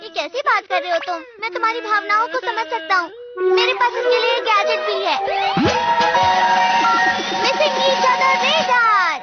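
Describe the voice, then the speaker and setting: An extremely high, childlike cartoon robot buddy, caring, sensible and earnest. He explains things like a patient friend, in dull audio over loud music. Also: moderate pace, pauses often. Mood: explaining. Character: caring, sensible male robot companion with gadgets. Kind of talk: animated cartoon dub.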